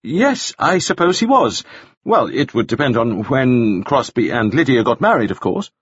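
A man's voice: mid-range voice, big pitch swings, normal speed, slightly rough, dry recording. A man voicing a nervous, flustered dandy who stammers and pleads anxiously. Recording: dull lo-fi recording.

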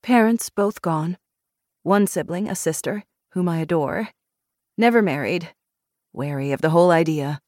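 A woman's voice: on the low side, moderate pace, a little mellow, no echo, clean studio sound, close to an everyday voice. A woman voicing a reserved, wry woman doctor in a novel, speaking in a guarded, terse way.

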